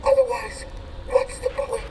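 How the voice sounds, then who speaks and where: An actor playing a fervent, faith-preaching faction leader in a video game, deliberate and rhetorical. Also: male voice, very high-pitched, little pitch movement. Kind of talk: dramatic video game acting. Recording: distant, echoing, noisy scene audio.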